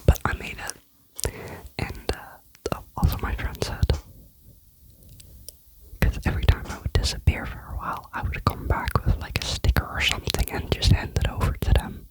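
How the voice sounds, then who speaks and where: A vlogger telling a rambling, chatty personal story in a soft ASMR whisper. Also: moderate pace, pauses often, poor audio. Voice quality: whispering throughout.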